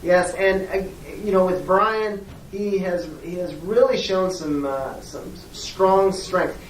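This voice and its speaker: Diplomatic schoolteacher, role-played, careful and halting delivery, mid pitch, recorded at a distance in an echoey room. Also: close to an everyday voice. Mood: polite, careful. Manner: hesitant, measured, diplomatic, frequent pauses. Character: polite, diplomatic schoolteacher phoning a parent. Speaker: teacher. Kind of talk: role-played phone call demonstration. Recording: echoey room, distant mic, faint noise.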